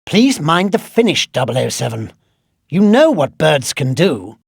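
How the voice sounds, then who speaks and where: A man's voice: on the high side, sing-song, measured pace, vocal fry, slightly rough, no echo, studio quality, full character voice. A male actor playing a fussy, exasperated older British quartermaster in a video game, with a pompous, clipped, sardonic way of nagging. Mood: haughty briefing. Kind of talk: scripted video game mission briefing.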